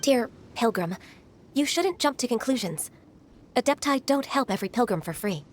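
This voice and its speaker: A woman playing a gentle, earnest young half-immortal in a video game, polite, measured and slightly hesitant. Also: fairly high pitch, lively intonation, a little hiss or hum, natural voice. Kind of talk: anime-style video game acting.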